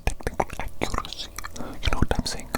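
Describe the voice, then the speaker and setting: A man in a whispered ASMR roleplay, playing a fussy, pedantic evil doctor who repeats himself slowly and precisely. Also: natural voice. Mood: fussy, coaxing. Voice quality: soft unvoiced whisper. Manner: slow, repetitive, fussy and precise.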